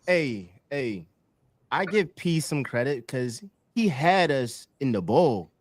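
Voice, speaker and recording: A man hosting a casual sports podcast, hyped and loud, breaking into a team chant. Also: medium pitch, sing-song, slow pace, no echo. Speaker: podcast host.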